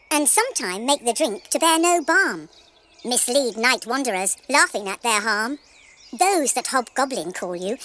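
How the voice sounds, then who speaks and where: A high, melodic fairy servant, played by a woman, full of questions. The verse swings widely in pitch in a theatrical radio-play style, with faint noise behind it. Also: a bit bright. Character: inquisitive fairy servant of the queen. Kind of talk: theatrical Shakespearean verse recitation.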